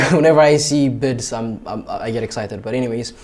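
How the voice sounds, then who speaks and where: A man's voice: medium pitch, moderate pace, a little mellow. A man hosting a livestream charity auction, chatty and upbeat as he calls out bids. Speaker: auction host.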